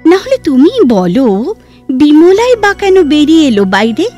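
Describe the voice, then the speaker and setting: A woman playing a reserved, bookish, introspective woman in an audio drama, speaking in a measured, hesitant and expressive way. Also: high-pitched. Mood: composed, earnest. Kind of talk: Bengali audio drama dialogue. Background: background music.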